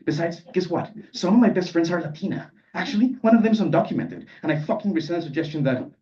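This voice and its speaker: A man's voice: on the high side, very animated, fairly quick, mellow, pitched above the performer's own voice. A man voicing an indignant, self-righteous young woman grad student in a live story reading, sassy and combative. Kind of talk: character dialogue in a live literary reading. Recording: dull sound, distant microphone.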